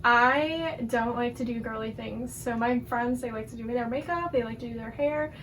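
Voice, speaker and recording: A woman's voice: fairly high pitch, moderate pace, a bit bright, recorded from a distance. A woman of twenty, talking candidly and bluntly in an outgoing, chatty way. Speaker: interviewee. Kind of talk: candid casual talk. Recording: noisy background hiss.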